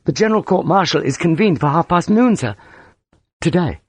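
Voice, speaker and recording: A man's voice: high-pitched, expressive, fast talker, slightly rough, dry recording, voice pushed up. A man voicing a timid young army lieutenant, hesitant and deferential, with a nervous stammer, in an audiobook story.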